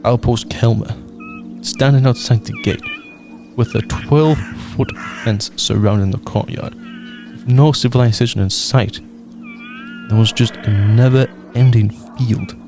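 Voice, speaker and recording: A young man narrating a radio drama in a measured storytelling way. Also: medium pitch. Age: young adult. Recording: narration over loud music.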